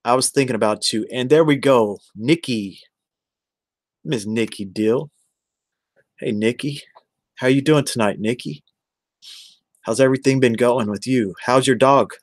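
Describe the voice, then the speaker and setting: A man's voice: mid-range voice, measured pace, long pauses, no room sound, studio quality. An American man in his forties chatting casually on a livestream, rambling and addressing viewers one by one. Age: 40s. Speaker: live streamer.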